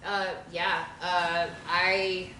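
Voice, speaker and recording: A woman with a low, grounded voice talks through her thoughts as a performer, pausing and circling back as she goes. She sounds distant from the mic. Her voice echoes around a room with a steady noise underneath. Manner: thoughtful, searching, many fillers. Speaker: actor who read stage directions. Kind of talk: casual feedback discussion.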